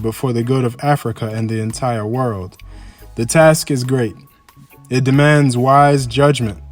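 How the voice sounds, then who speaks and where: A man reads a formal address aloud in a solemn, measured, oratorical manner. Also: medium pitch. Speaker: reader of a historical speech. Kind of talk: formal speech read aloud. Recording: music under the voice. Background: background music.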